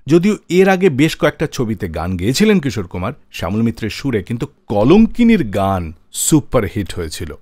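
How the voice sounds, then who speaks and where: A man presents a music radio show in a scripted narration style. His mid-pitched voice moves up and down expressively. The sound is dry and close, with faint music behind. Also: darkish tone, no echo.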